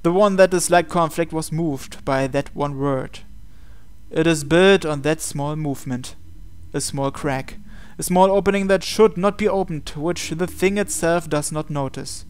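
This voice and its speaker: Crisp-voiced man reading a visual novel. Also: fairly high pitch, unhurried. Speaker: gaming video host. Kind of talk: reading visual novel text aloud with asides.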